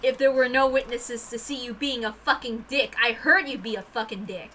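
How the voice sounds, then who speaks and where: A woman reading a visual novel aloud in an expressive, informal way, dramatic in narration and casual in asides. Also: on the high side, normal speed, a little hiss or hum.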